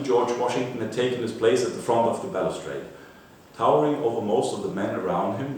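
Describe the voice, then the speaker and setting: A man reading a scripted popular history narrative aloud in a measured way, like an author presenting an excerpt, with an occasional stumble. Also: on the low side, a little mellow. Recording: echoey room, distant mic, faint noise.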